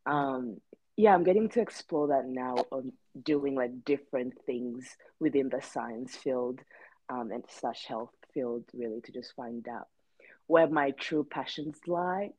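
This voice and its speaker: Low-voiced young woman chatting loosely. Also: measured pace, dark tone, dry recording, highs cut off. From Rwanda. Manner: rambling, many fillers and restarts. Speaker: podcast co-host. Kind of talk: casual chat.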